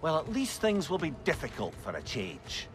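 An actor playing a witty, talkative male companion in a video game, with a wry, animated, chatty delivery. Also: on the high side, moderate pace, over loud music.

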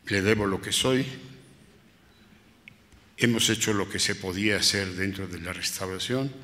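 An elderly man in his eighties, from Spain, reminisces at a panel in a large museum hall. His low voice has a bright, forceful edge, broken by pauses and self-corrections. A long hall reverb trails behind every phrase from the table microphone. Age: 80s. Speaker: restorer and panelist. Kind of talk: panel talk, personal reminiscence. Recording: hall reverb from public-address room.

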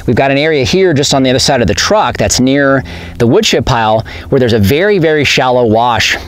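A man's voice: medium pitch, dry recording. A middle-aged man giving a casual, practical how-to explanation outdoors on open farmland, filmed by a camera a few metres away. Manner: plain, practical, talks with his hands. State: a little out of breath. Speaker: homesteading vlogger.

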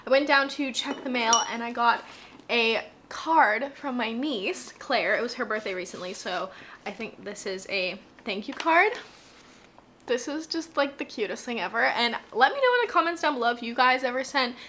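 A woman's voice: a little high, animated, moderate pace, pushed and bright, slight background noise. Bubbly young woman vlogging at home. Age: 20s. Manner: bubbly, gushing, frequent "like" fillers. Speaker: beauty vlogger. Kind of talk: casual chatty vlog. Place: home, bathroom and living room.

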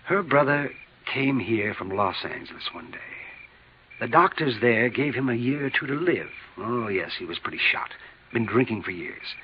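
A man acting a weak, remorseful middle-aged broker in a 1950s radio drama. His halting, regretful delivery swings widely in pitch. The sound is dull, with some faint noise from the old recording. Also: big pitch swings, natural voice. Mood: bitter, ashamed. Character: weak, remorseful insurance broker confessing to fraud.

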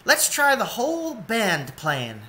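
A man's voice: high-pitched, huge pitch swings, takes it slowly, bright, forceful tone, pitched above the performer's own voice, full character voice. A man voicing a plucky, kindly otter mother in a storybook, with a plain, resigned yet hopeful delivery. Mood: gently cheerful.

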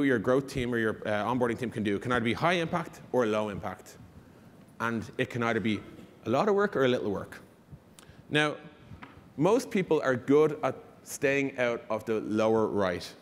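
Man presenting in a reverberant hall. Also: mid-range voice. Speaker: conference speaker. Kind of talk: conference presentation. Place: large conference stage, indoors.

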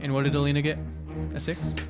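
A man's voice: fairly high pitch, monotone, fast talker, loud music behind the voice. A man playing a tabletop game, calling turns in casual, wry, joking talk. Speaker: tabletop game player tracking turn order. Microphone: close table microphone.

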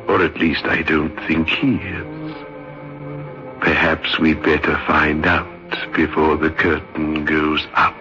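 A ghoulishly wry host of a radio horror show. His very deep voice crawls along with drawn-out, mock-polite courtesy. It is a dull old radio recording. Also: fairly even intonation, music in the background. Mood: ominous, wry.

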